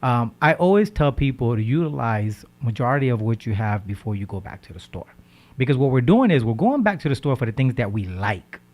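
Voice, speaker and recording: Animated male radio host, "right?" after every point. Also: mid-range voice, brisk. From Haitian background. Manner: animated, repeats words, asks "right?" often. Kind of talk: casual health talk on radio.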